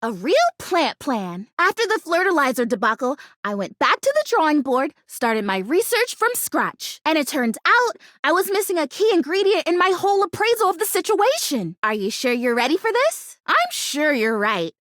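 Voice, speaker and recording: Game role: an earnest, optimistic young female houseplant, extremely high voice, lively intonation, gushing and chatty. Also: moderate pace, few pauses, no echo, studio quality. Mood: excited. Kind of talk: animated video game character acting.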